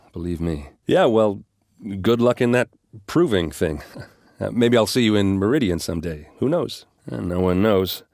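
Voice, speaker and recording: Gruff warrior, video game character, hard-drinking, friendly, boastful, wry, dry studio sound. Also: male voice, sits low, darkish tone, dry recording. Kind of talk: game dialogue and combat barks.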